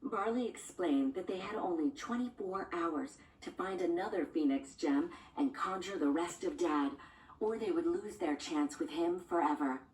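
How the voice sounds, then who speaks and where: A woman's voice: on the low side, moderate pace, darkish tone, a little hiss or hum. A woman reading a scripted children's storybook as a read-along narrator, with music behind her. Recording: roomy, distant sound with music underneath.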